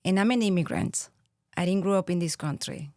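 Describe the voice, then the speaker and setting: A woman in her thirties from Venezuela with a Venezuelan Spanish accent, a podcast guest speaking earnestly and reflectively, pausing often with fillers. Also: on the low side, mellow, dry recording, studio quality. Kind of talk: interview conversation.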